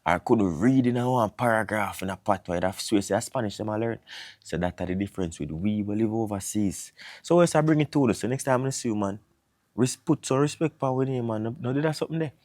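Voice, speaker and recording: Jamaican man, thirties, lowish voice, patois-laced casual talk, dry close sound. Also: fast, dry recording. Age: 30s. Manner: animated, mixing patois into English. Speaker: vlogger. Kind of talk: casual monologue.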